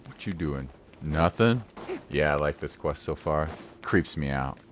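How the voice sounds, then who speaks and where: A man's voice: rumbling low, animated, unhurried, a little hiss or hum. A man giving casual gameplay commentary as a streamer, with offhand, muttered reactions. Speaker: gaming streamer.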